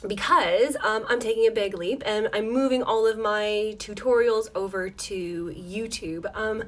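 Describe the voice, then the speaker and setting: A woman's voice: medium pitch, unhurried. A woman hosting a casual livestream tutorial from her home desk, chatting in a rambling, apologetic way with many ums while losing her voice. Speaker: dice maker hosting a live tutorial.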